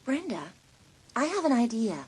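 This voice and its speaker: A woman's voice: fairly high pitch, sing-song, normal speed, darkish tone, husky, exaggerated voice. A woman playing a casual, cool teenage best friend in a dramatised audiobook. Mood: conspiratorial.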